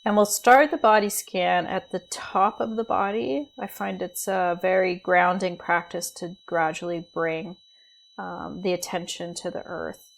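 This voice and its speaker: Woman, meditation guide, soft soothing delivery, unhurried pauses, noticeable vocal fry, faint noise behind. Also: on the low side, steady tone, poor audio. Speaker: meditation teacher. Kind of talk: guided body scan meditation.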